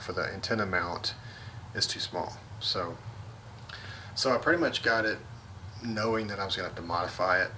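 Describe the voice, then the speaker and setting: Low-voiced man explaining a vehicle install. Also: slight background noise, rough recording. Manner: relaxed, practical, many fillers and restarts. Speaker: vlogger. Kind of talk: casual how-to walkthrough.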